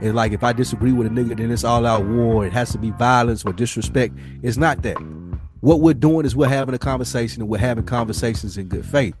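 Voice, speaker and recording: A man's voice: medium pitch, quick pace, a little mellow, faint music behind. A man co-hosting a podcast in casual conversation, speaking emphatically with slang and often rephrasing his points. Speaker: podcast co-host.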